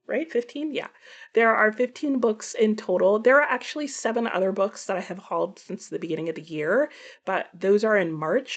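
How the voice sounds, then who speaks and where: A middle-aged woman chatting casually to viewers about books, thinking aloud and correcting herself as she goes. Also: medium pitch. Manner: musing, self-correcting, conversational. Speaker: book vlogger.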